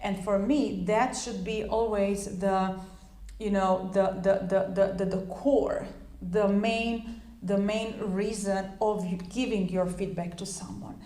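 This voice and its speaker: A woman's voice: medium pitch, steady tone, slow pace, dark tone, echoey room. A woman whose first language is Croatian, giving a loose, talkative English lesson full of fillers and restarts. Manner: chatty, many fillers and restarts. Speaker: English teacher. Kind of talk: informal spoken lesson.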